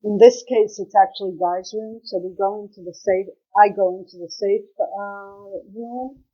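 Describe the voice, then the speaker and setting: Slow, hesitant woman on muffled audio. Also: fairly even intonation, slightly rough. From Israel. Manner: hesitant, many pauses and fillers. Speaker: guest, mother of a hostage. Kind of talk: interview. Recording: muffled low-quality call audio.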